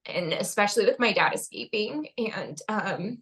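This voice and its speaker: A woman's voice: mid-range voice, lively intonation, moderate pace, fairly bright tone. A young adult woman speaking as a podcast guest in a casual interview, earnest and heartfelt, with frequent "um" and "like".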